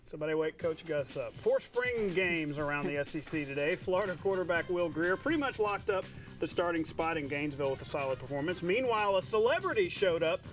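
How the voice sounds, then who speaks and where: A man co-anchoring a TV sportscast, narrating highlights in an informal, jokey way with playful banter. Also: expressive, fairly quick, recorded from a distance, soft background music. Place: TV studio.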